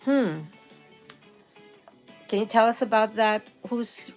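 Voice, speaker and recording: A woman's voice: fairly high pitch, fairly even intonation, takes it slowly, a little mellow, faint music behind. A middle-aged woman with a Filipino accent, hosting a casual live stream and chatting with viewers, laughing often. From Philippines. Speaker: livestream host. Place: home in New York.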